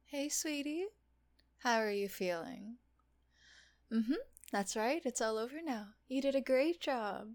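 A woman voicing a teasing, doting female nurse in an intimate audio roleplay, talking softly and often in a whisper. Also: fairly high pitch, lively intonation, a little slow, pauses often. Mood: teasing, coaxing.

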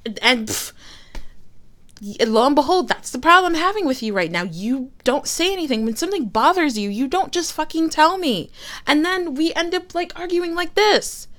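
A woman's voice: sits high, animated, moderate pace, a bit bright. A young woman voicing a frustrated girlfriend who swears in exasperated outbursts while fighting with her partner. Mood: angry. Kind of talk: one-sided roleplay audio.

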